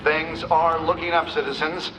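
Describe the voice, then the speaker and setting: Actor as higher-voiced upbeat male news announcer. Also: flat delivery, normal speed, natural voice, low-quality recording. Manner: upbeat, folksy. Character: chipper male radio news announcer, folksy. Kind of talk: news broadcast acting.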